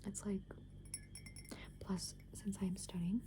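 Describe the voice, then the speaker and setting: Woman, 20s, ASMR creator. Hushed whispered rambling, casual and meandering, with clear background noise. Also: medium pitch, flat delivery, takes it slowly. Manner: casual, meandering, thinking aloud. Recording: clearly noisy background hiss and ambience.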